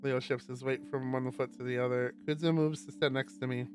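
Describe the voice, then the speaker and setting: A man's voice: mid-range voice, level tone, brisk. A man streaming a visual novel, reading first-person fiction aloud. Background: guitar music.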